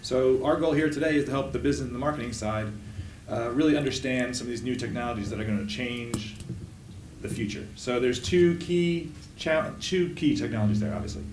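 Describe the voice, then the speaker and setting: A man's voice: mid-range voice, background noise. A man moderating a conference panel, speaking casually with many fillers. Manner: relaxed, many fillers, thinks aloud. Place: conference room at a trade event. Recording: roomy echo, mic from a distance, faint ambience.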